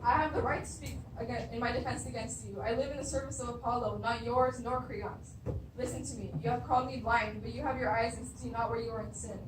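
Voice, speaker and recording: A woman's voice: fairly high pitch, steady tone, brisk, natural voice. Young woman acting a stern prophet, distant and echoey. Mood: ominous, accusing. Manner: solemn, accusatory. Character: stern, defiant blind prophet of doom. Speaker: drama student. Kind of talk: beginner stage readers' theatre. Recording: roomy, distant mic, clear noise.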